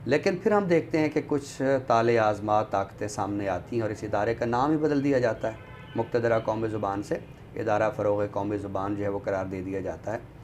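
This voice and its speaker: A man with a Pakistani accent speaks as a guest in a thoughtful discussion, formal and deliberate, pausing often. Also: on the low side, darkish tone, soft background music. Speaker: guest commentator on a literary show.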